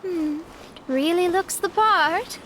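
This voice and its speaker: A woman's voice: very high-pitched, slow, a bit bright, slight background noise, full character voice. A woman playing a poised, decisive young woman in a fantasy video game, speaking articulately. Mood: composed, assured. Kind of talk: video game character dialogue. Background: music.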